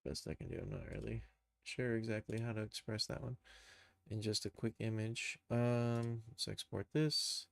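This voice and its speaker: A man's voice: fairly low pitch, steady tone, normal speed, gravelly, dry recording. A man streaming a casual tutorial, thinking aloud informally as he works. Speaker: streamer, game developer and animator. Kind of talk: casual live screen-share tutorial.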